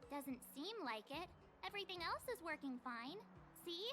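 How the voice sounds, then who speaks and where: A plucky, outspoken teenage girl adventurer from a video game. Her voice is extremely high and swings widely in pitch. She is chatty and casual, with music faintly behind her. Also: expressive, moderate pace. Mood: puzzled.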